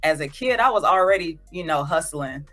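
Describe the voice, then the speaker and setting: A woman from Georgia in the United States, a visual artist who is a podcast guest, telling stories in a relaxed, chatty way with casual slang. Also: low voice, normal speed, faint music behind. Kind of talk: casual interview.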